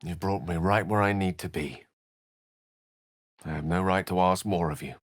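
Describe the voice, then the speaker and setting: An actor playing an eloquent, theatrical wizard in a fantasy video game, learned, courtly and wry, with articulate, bookish phrasing. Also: male voice, low voice, moderate pace, dry recording, clean studio sound. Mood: grave.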